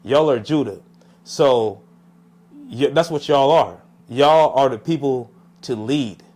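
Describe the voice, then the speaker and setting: Podcast guest: young man from Jamaica, mid-pitched, rambling and reflective, with many fillers. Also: takes it slowly, a little mellow. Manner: reflective, rambling, lots of "you know what I'm saying". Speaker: podcast guest, music artist. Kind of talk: casual interview talk.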